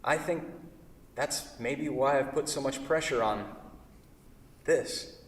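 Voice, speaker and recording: A man's voice: mid-range voice, slow pace, lightly acted. A man in a staged play reading, acting a wordy, fretful furniture craftsman in love with his own creation, often correcting himself. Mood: tender, wistful. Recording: echoey hall, far from the mic.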